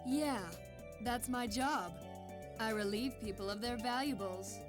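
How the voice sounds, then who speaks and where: A woman's voice: fairly high pitch, unhurried, close to an everyday voice. A woman voicing a cocky, easygoing young thief in a video game, breezy and teasing. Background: game music.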